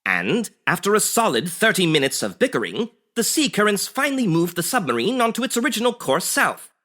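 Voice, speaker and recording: A man's voice: sits high, sing-song, a little fast, sharp tone, exaggerated voice. A man playing a dramatic, urgent narrator in the manner of a 1940s radio serial. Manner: theatrical, urgent announcer delivery. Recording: music under the narration.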